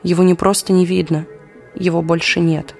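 A woman's voice: lowish voice, little pitch movement, moderate pace, gravelly, a little mellow, faint music behind. An actress playing an anxious, reflective young woman in a diary-like audio play monologue. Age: young adult. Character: young Kyiv woman, anxious and reflective, living through wartime blackouts.

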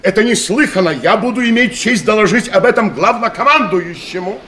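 An actor playing a formal, officious deputy minister in a radio play, speaking in stiff bureaucratic phrases. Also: male voice, high-pitched, a little fast, a bit bright, a little hiss or hum. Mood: flustered. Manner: formal, bureaucratic, flustered when refused. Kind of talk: archival radio play acting.

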